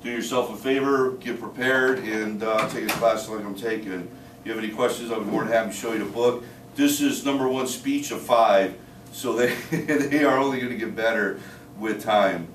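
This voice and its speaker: A man in his thirties giving a student speech, talking plainly with many "uh" fillers and rushing in spurts. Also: on the low side, a little hiss or hum. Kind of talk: informal classroom speech. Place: small room with a few listeners. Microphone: camera across the room. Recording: echoey room from far off.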